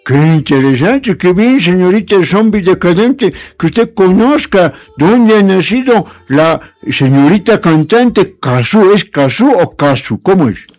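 A man's voice: high voice, animated, measured pace, hardly any pauses, darkish tone. A man playing a courteous, earnest radio host with a formal, repetitive manner in a comic radio play. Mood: courteous, curious. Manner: formal, repetitive, addresses listeners. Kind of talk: comic radio theatre hosting.

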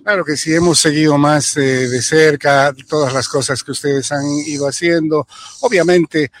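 Higher-voiced male interviewer. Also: steady tone, moderate pace. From Bolivia. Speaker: interview host. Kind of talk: conversational broadcast interviewing.

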